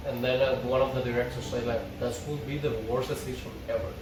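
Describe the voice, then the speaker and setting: A man's voice: medium pitch, flat delivery, fast. A man speaking as a fan, reading stage directions aloud or chatting casually. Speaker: fan table reader and commentator. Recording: echoey, far from mic, noisy room.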